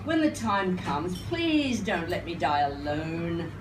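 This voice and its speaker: Older lady doing spoken word poetry, slow n wry, kinda theatrical, far from mic in a roomy noisy space. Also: fairly low pitch, big pitch swings. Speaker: performance poet and singer. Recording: roomy, noisy live recording, distant mic.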